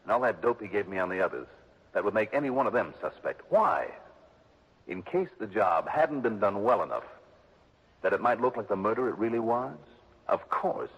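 Tough, sardonic male investigator, husky and clipped, on a muffled vintage radio broadcast. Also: lowish voice, animated, normal speed. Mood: probing. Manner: clipped, wry and pressing. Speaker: lead actor. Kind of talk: 1960s radio drama acting.

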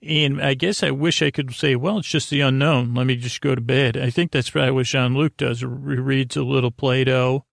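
A man hosting a sleep podcast with a rambling, soothing bedtime monologue, halting and often trailing off or doubling back on his words. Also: mid-range voice, fairly even intonation, vocal fry, no echo, studio quality. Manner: meandering, halting, often trailing off and repeating himself; lulling, soothing.

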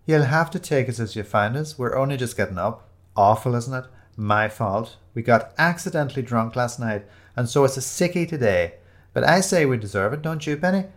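A man's voice: mid-range voice, lively intonation, moderate pace, natural voice. A man voicing a cheerful, chatty, hungover bohemian woman in a breezy manner.

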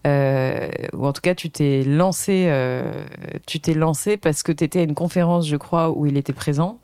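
A male interviewer with a fairly high voice sets up his guest's story with attentive, encouraging framing. The recording sounds dry and close. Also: fairly even intonation, normal speed, a little mellow, clear, resonant tone, no room sound. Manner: attentive, frequent backchannel murmurs. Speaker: interviewer, podcast host. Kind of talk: casual interview.

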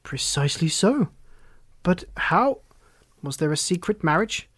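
A man's voice: on the high side, very animated, unhurried, a bit bright, dry recording, exaggerated voice. A man puts on a huge, proud Bohemian monarch for an audiobook. He speaks formally and haughtily, with agitation under the stately manner. The sound is dry and close. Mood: anxious. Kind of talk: audiobook character reading.

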